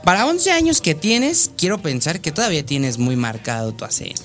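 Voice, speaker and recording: A man's voice: on the high side, very animated, normal speed, a bit bright, soft background music. A man with a Mexican accent hosting a casual radio interview, chatty and playful, full of slang and fillers. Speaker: radio show host.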